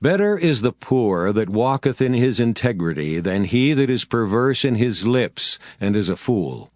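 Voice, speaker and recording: A man giving a solemn, deliberate, dramatized reading of scripture. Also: mid-range voice, dry recording, clean studio sound. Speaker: scripture reader. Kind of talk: dramatized Bible audiobook reading.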